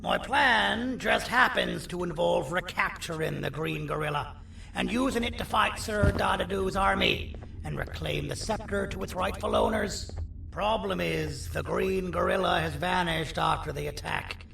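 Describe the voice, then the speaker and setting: Creaky, sinister monster surgeon. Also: male voice, sits high, heavily acted. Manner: pompous, theatrical, condescending. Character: sinister monster surgeon, contemptuous of humans, scheming and self-important. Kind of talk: video game villain character acting. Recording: roomy echo, distant, faint noise.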